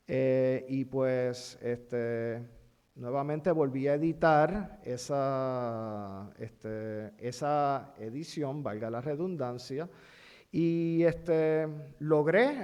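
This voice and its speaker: A man with a Puerto Rican accent giving a university lecture in an amphitheater, pausing often with fillers as he spells things out. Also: fairly high pitch, darkish tone. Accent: Puerto Rican Spanish. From Puerto Rico. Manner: halting, many fillers, spells things out slowly.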